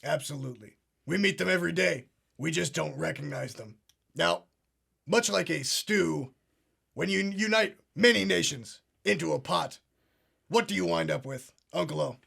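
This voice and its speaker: A pompous conspiracy show host. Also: male voice, fairly high pitch, normal speed, gravelly, a bit bright, breathy edge, full character voice. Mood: smug earnest. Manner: solemn, earnest, agreeing. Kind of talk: deadpan parody talk show.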